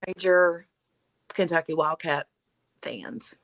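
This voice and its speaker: A woman's voice: low-pitched, slow pace, fairly bright tone, dry recording, poor audio. A woman hosting a casual livestream from home, chatting and laughing and reading viewer comments aloud. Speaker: livestream host. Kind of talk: casual live chat.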